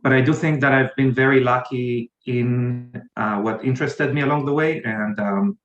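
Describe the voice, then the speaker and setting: A man's voice: medium pitch, fairly even intonation, moderate pace, fairly bright tone, echoey room, highs cut off. A man with an Israeli accent, answering interview questions hesitantly with many fillers and restarts. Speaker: guest, company founder.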